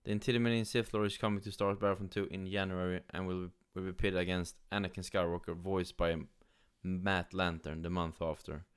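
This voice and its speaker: A man from Sweden with a Swedish accent, giving casual, somewhat halting gaming news commentary. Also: low-pitched, flat delivery, clear, resonant tone. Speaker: gaming YouTuber. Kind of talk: casual news commentary, partly reading aloud.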